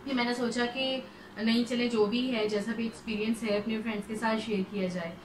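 A woman's voice: fairly high pitch, fairly even intonation, fairly quick, recorded from a distance. Woman, 30s, home vlogger chatting to a phone camera; echoey bedroom, light hum. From Pakistan. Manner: chatty, mixes English phrases in. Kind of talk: casual vlog to camera. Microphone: phone camera at close range. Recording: echoey room, faint background hum.

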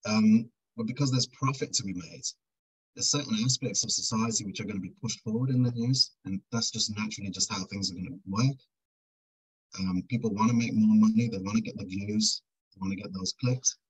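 A man's voice: lowish voice, brisk, breathy edge, poor audio. A middle-aged man, a documentary maker, talking thoughtfully as a guest over a video call. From Britain. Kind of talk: casual interview chat.

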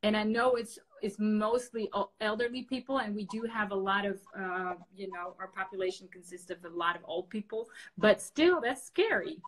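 A woman's voice: medium pitch, normal speed, hardly any pauses, low-quality recording. Dutch lady hosting a livestream from her bedroom, chatty, laughs a lot, webcam sound. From Netherlands. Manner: chatty, laughs often, many fillers. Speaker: host. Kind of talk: casual livestream interview. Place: bedroom at home, indoors. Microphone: webcam on a video call.

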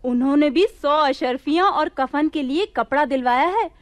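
An actress playing a loyal, wary wife and accomplice in a radio play. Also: female voice, high-pitched, moderate pace, no room sound, close to an everyday voice.

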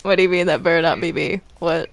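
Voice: mid-range voice, fairly even intonation, fast, vocal fry, slight background noise. A gamer chatting casually and playfully during gameplay, often giggly and teasing.

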